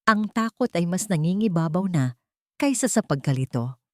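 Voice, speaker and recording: A woman narrating a scripted audio drama in a steady, solemn storytelling manner. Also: fairly low pitch, normal speed, no room sound, studio quality.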